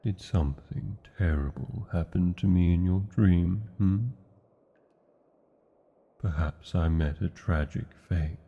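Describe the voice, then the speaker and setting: A British man playing a tender, brooding dragon lover in a romantic fantasy ASMR roleplay, speaking formally with old-fashioned endearments in a gravelly, husky voice. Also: low-pitched, slow, dark tone, exaggerated voice. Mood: reassuring. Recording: muffled, narrow phone-like sound.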